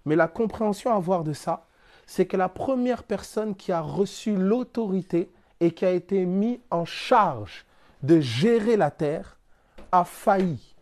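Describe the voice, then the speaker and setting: Mellow-voiced French preacher man. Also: a little high. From France. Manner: explains through everyday examples and rhetorical questions; plainspoken, casual turns of phrase. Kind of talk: spiritual teaching talk.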